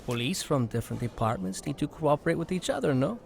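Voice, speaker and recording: An actor in an audio drama playing a tough, shrewd police detective who speaks in an assertive, challenging manner. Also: male voice, a little high, very animated, fast, gravelly, slight background noise. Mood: stern, probing.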